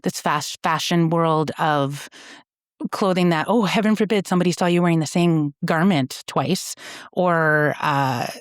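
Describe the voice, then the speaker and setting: A woman's voice: low-pitched, no echo, studio quality. A woman from Canada, a company founder, explaining her work earnestly in a conversational interview, with frequent fillers and restarts.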